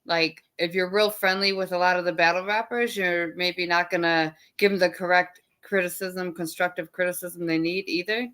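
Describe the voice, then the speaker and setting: A woman hosting a podcast live stream in a loud, animated casual chat. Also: on the low side, moderate pace, hardly any pauses. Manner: loud, animated, laughs often. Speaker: podcast host. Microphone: live stream setup.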